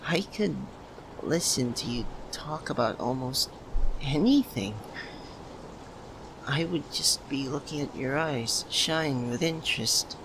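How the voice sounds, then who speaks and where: A nervous, lovesick young man, played by a male voice actor in an audio roleplay. He talks very slowly, halting and stammering as if to his childhood best friend. There is clear ambient noise behind him. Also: mid-range voice. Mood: confessional, wistful. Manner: hesitant, halting, stammering with pauses. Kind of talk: ASMR roleplay, soft emotional monologue to the listener. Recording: noticeable steady background ambience noise.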